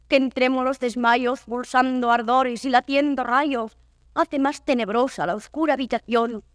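Actress as high-voiced troubled noblewoman traveller. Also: no room sound, clean studio sound, close to an everyday voice. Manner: grand, theatrical, measured declamation. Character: proud, troubled noblewoman traveller lost in the wilds. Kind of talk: classical Spanish verse drama, declaimed.

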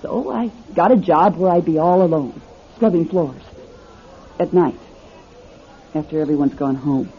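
Wistful down-on-luck fortune-teller, muffled. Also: female voice, low-pitched, unhurried, pauses often. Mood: wistful, unsure. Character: lonely, self-doubting, uncanny female fortune-teller, down on luck. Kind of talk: classic radio drama acting. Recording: muffled, noisy old radio drama recording.

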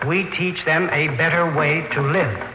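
An earnest young patrol linguist, played by a male actor, speaks in a fairly high voice with careful, polite deference. It is vintage radio drama, with music clearly heard behind him. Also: measured pace, reverberant, natural voice, low-quality recording. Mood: questioning, patient. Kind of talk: old-time radio drama acting.

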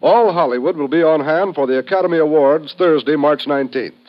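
High-pitched male American vintage radio announcer. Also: a little fast. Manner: emphatic, showy. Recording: muffled vintage radio recording.

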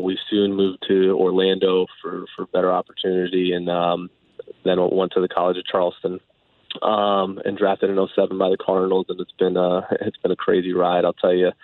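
A man's voice: low voice, no echo. A man in his thirties, a baseball manager, talking earnestly on a radio interview with lots of fillers and restarts. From United States, Dominican background. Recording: tinny phone line.

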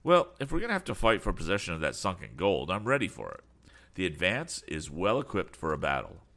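A man's voice: low voice, wildly swooping pitch, brisk, fairly bright tone, exaggerated voice. A man voicing a frank, determined young inventor in a storybook, explaining things earnestly. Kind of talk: audiobook character voice.

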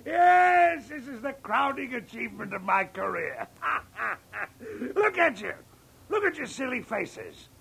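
Shrill, cackling old prankster. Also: male voice, very animated, takes it slowly, gravelly, a bit bright, breathy edge, full character voice. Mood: gleeful mockery. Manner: cackling, mocking, theatrical. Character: gleeful, cruel old millionaire prankster, male. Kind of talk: 1940s radio acting. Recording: old radio drama recording.

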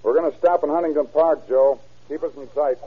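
An actor playing a firm, unflappable police officer with a clipped, dry, authoritative manner in an old radio crime drama. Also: male voice, fairly high pitch, brisk, background noise, natural voice. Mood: dry, calm. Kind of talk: 1930s-40s radio crime drama acting. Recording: tinny, band-limited old radio broadcast.

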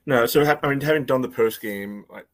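A man on a sports podcast talking casually and haltingly, often dropping in "you know". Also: mid-range voice. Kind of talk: casual soccer discussion.

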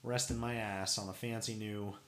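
A man's voice: on the low side, level tone, moderate pace, echoey room, a crowd in the background. A man co-hosting a podcast in casual chat, laid-back, cursing and laughing often, with a slightly stuffy nose. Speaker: podcast co-host.

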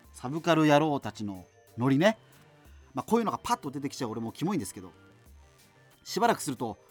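Radio drama host played by a man: higher-pitched, very lively delivery, digressive, apologetic, self-mocking subculture obsessive. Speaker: radio show host answering listener letters. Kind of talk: rambling radio talk monologue in a radio drama.

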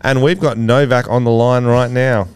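A man's voice: mid-range voice, steady tone, normal speed, smooth. A young Australian man co-hosting a podcast in casual chat, joking, swearing and laughing often. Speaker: podcast co-host.

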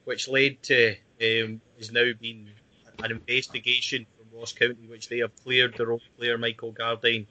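Bright-voiced podcast host. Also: male voice, medium pitch, little pitch movement, moderate pace, muffled recording. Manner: leads the talk, hands over to guests by name. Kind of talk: casual football podcast chat.

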